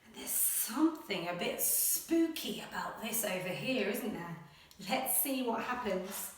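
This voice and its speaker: A woman primary school teacher speaking to children and reading a picture book aloud in a dramatic, expressive way. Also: mid-range voice, a little hiss or hum. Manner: dramatic, expressive, with gasps and suspenseful pauses. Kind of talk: animated children's story reading and lesson. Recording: very echoey room, slightly distant mic.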